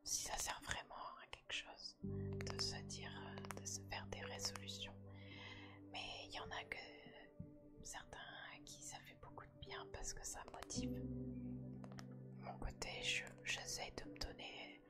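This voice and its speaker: A woman whispering gently and caringly in an intimate ASMR style, like chatting with a friend. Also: high voice, wildly swooping pitch, unhurried. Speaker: ASMR roleplay host. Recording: music clearly behind the whisper.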